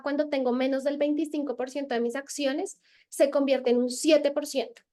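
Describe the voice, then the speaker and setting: A woman's voice: high-pitched, a little mellow. A Colombian woman presenting a webinar as a tax consultant, explaining step by step with frequent filler sounds over a video call.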